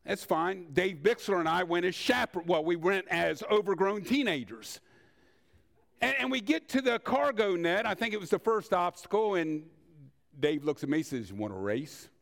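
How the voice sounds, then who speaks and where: An older man preaching in a conversational, storytelling way, with rhetorical questions and deliberate pauses. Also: sits high, expressive, gravelly. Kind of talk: sermon with personal anecdotes.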